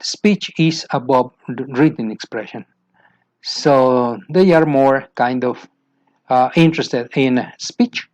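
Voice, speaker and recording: A man's voice: medium pitch, darkish tone, no echo, low-quality recording. A man from Mexico with a Mexican accent, a Spanish speaker teaching other teachers about an English method, repeating points and often asking "you know why?". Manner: repetitive, asks "you know why?" with rising emphasis. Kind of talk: instructional talk to teachers.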